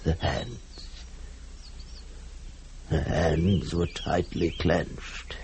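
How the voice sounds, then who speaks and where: A haunted, guilt-ridden man tells his tale in an old radio horror play. His delivery is slow, halting and dramatic, with a gravelly creak, over a noisy vintage recording. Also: very deep voice, steady tone, a little mellow, heavily acted. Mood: grim, hushed. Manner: halting, dramatic, broken phrases.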